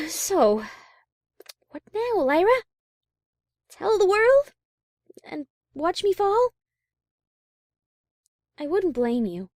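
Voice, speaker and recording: High, prim, refined lady pony played by a woman. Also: very animated, drawn-out words, pauses often, darkish tone, no echo, clean studio sound, full character voice. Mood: bitter, resigned. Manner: refined, formal, dramatic. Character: Octavia, prim high-class female pony musician, easily chagrined. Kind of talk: audio drama character acting.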